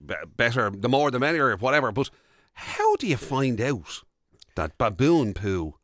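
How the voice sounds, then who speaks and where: A man's voice: mid-range voice, expressive, a little slow, a bit bright, dry recording, clean studio sound. An Irish man co-hosting a radio show in casual comic chat, rambling and asking bemused questions. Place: radio studio.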